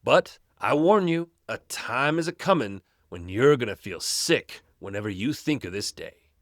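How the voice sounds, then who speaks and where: A man voicing a stern, suspicious older male town doctor who speaks gravely with a folksy drawl. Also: mid-range voice, expressive, a little slow, a bit bright, no room sound. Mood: warning.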